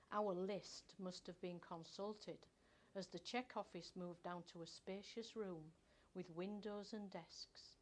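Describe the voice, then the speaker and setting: An older woman reads a first-person story from a script in a measured, expressive storytelling manner. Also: medium pitch. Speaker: author reading own short story.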